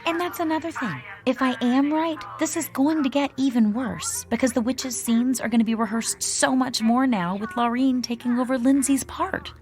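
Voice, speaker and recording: A woman's voice: sits high, fairly even intonation, moderate pace, hardly any pauses, a little mellow, close to an everyday voice. Woman doing a young college actress, earnest and determined, radio play. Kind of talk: radio drama acting.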